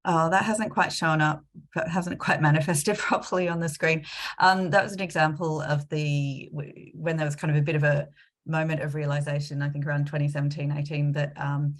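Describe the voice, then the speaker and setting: A woman's voice: low-pitched, flat delivery, a little fast. A woman presenting a talk with slides, hesitant with many ums and restarts, a bit sniffly from a cold. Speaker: climate consultant presenting a talk.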